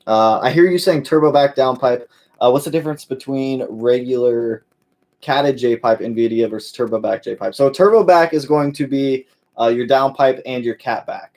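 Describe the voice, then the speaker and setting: Brief: male car-channel host, relaxed live Q&A, filler-heavy and friendly, mid-range voice. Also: mid-range voice, normal speed. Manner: laid-back, many fillers, calls viewers "my man". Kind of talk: casual live-stream Q&A.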